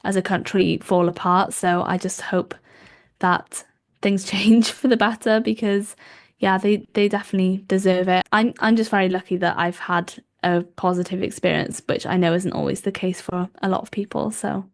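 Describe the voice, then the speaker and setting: A woman's voice: mid-range voice, steady tone, normal speed, gravelly. A young woman in her twenties from Wales with a Welsh accent, her first language being Welsh, chatting as a podcast guest with lots of ums and likes. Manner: chatty, warm laughs, frequent ums and likes. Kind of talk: casual interview chat.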